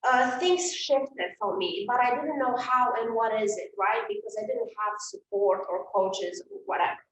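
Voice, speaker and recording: A woman's voice: on the high side, normal speed, slight background noise. A middle-aged woman from Romania with a Romanian accent, giving an earnest live talk to an online event audience, with frequent fillers and repeated words. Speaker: event speaker and coach. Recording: roomy, distant from the mic.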